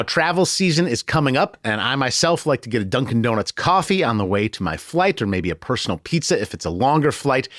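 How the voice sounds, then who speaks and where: An American man hosts a news podcast at a medium pitch. He talks in a colloquial, joking way, close on the mic with very little room sound. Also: no room sound. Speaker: podcast host. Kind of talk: conversational news podcast hosting.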